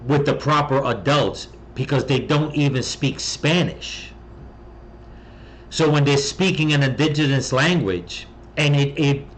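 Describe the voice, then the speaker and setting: A man's voice: mid-range voice, fairly bright tone, slight background noise, poor audio. An American man giving casual reaction commentary in a blunt, emphatic way. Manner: blunt, emphatic, mildly profane. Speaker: video commentator.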